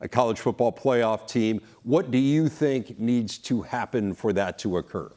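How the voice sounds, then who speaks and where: A man's voice: medium pitch, a little slow, darkish tone. A man hosting a sports show, putting analytical questions to a guest in an interview.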